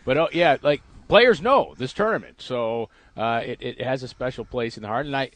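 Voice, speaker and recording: A man's voice: mid-range voice, expressive, moderate pace, no echo. A man co-hosting a radio show, chatty and jokey, asking long rambling questions. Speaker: radio co-host. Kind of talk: casual interview chat.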